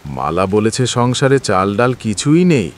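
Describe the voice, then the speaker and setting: A man's voice: on the low side, big pitch swings, a little slow. A man voicing a poor, humble village woodcutter in a cartoon, speaking earnestly and plaintively. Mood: musing. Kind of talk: Bengali moral-story cartoon voice acting.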